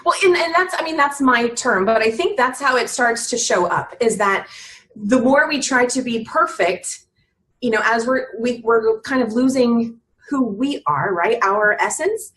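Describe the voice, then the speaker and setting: A woman's voice: on the high side, animated, a little slow, fairly bright tone, rough recording. A woman in her thirties talking earnestly as an interview guest, often restarting her sentences and filling with "you know". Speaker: guest on a business podcast. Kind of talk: reflective conversational chat.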